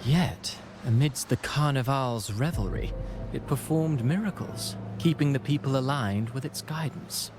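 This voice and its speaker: An actor playing a courtly, ingratiating playwright in a video game, with a polite, florid storytelling manner. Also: male voice, medium pitch, measured pace, few pauses, natural voice. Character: courtly, theatrical male playwright, suave and ingratiating. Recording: music behind the voice.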